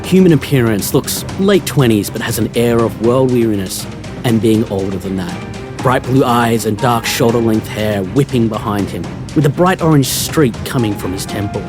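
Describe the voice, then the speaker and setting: A man narrates a fantasy audio drama with scripted description. His medium-pitched voice plays over prominent music. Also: animated, normal speed.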